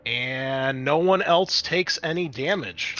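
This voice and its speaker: Bright-voiced male game master. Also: mid-range voice, slow, dull audio. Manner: dramatic scene-setting mixed with casual rules talk. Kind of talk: narrating a tabletop roleplaying game.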